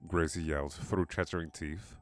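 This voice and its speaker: A man narrating a scripted horror story in a measured, dramatic manner. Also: very deep voice, steady tone, dry recording. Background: background music.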